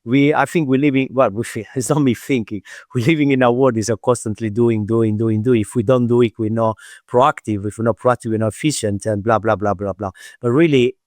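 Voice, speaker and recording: A man's voice: mid-range voice, steady tone, brisk, a little mellow, no room sound, clean studio sound. A man speaking as a guest coach in a podcast interview, thoughtful and searching for his words with frequent hesitations. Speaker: performance mental coach, guest. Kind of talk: conversational interview.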